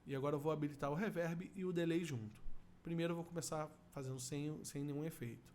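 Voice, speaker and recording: A middle-aged man with a Brazilian accent giving an informal software tutorial as an audio effects instructor, explaining step by step. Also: on the high side, fast talker. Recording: steady room noise.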